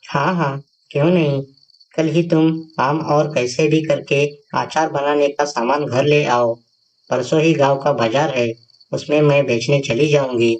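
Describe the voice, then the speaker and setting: A man's voice: on the high side, steady tone, measured pace, pitched above the performer's own voice. A man voicing a poor, proud, hardworking village mother in a cartoon moral story, plain-spoken and dignified. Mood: willing, practical. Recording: distant voice over faint music.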